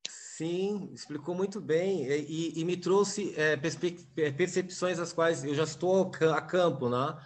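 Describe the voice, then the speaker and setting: Halting man replying in an online debate. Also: fairly high pitch. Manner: halting, restarts words, adds "né?" as a tag. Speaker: debate participant. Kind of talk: reflective response in an online debate.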